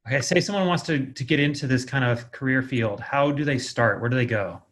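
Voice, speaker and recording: A man's voice: medium pitch, a little fast, a bit bright. A man hosting a podcast, asking questions in a casual, conversational interview style.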